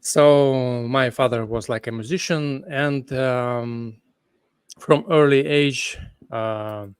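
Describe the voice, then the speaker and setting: Sleepy Ukrainian man, slow halting English. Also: medium pitch, clear, resonant tone, dry recording. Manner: halting non-native English with many fillers. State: sleepy late at night. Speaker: guest guitarist. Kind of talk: casual interview chat.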